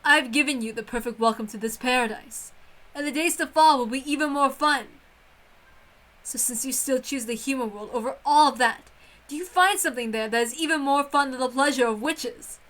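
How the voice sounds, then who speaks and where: A woman's voice: high voice, brisk, a bit bright, low-quality recording. A woman voicing a grand, possessive witch in formal, theatrical speech, lonely and insistent, as in a visual novel reading. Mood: baffled, pleading.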